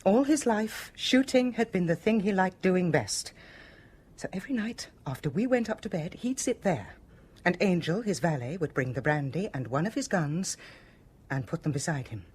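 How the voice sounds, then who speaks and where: An actress with a British accent playing a bitter, fatalistic wife in a radio drama, guarded and weary. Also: female voice, lowish voice, animated, normal speed, darkish tone, slight background noise, close to an everyday voice. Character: brittle, bitter, fatalistic wife of a cruel husband.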